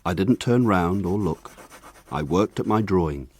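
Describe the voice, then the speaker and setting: An actor narrating a radio drama as a grown man looking back on his village boyhood in a measured, lyrical way. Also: deep voice, expressive, husky, heavily acted. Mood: reflective.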